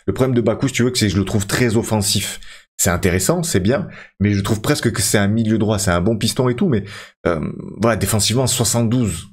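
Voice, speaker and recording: A man's voice: lowish voice, fairly quick, slightly rough. A man in his thirties casually commentating his football career game for viewers, chatty and full of fillers. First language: French. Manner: chatty, addresses viewers as friends, many fillers. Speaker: gaming YouTuber.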